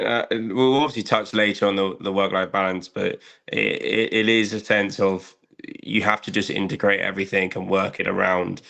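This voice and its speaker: A man's voice: on the low side, gravelly, a bit bright. A young adult man hosting a podcast, chatting casually and eagerly agreeing with his guest. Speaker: podcast host, recruiter. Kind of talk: casual interview chat.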